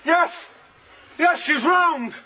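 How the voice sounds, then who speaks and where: An actor playing a doubtful, questioning ship's officer on a sailing ship in a radio drama. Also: male voice, very high-pitched, very slow, sharp tone, exaggerated voice. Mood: tense, excited. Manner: questioning, deferential but challenging. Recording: muffled lo-fi radio drama, clear noise.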